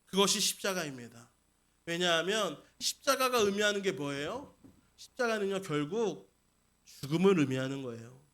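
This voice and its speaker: A man's voice: high voice, expressive, normal speed, a bit bright. A man preaching a Sunday sermon as senior pastor, putting questions to the congregation and repeating key points for emphasis. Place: church service.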